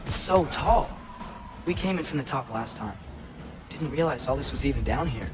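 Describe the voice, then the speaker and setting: A man's voice: mid-range voice, animated, normal speed, close to an everyday voice. A young-sounding man playing an earnest, curious teenage boy adventurer in a video game, with casual youthful delivery. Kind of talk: video game character acting. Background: loud music.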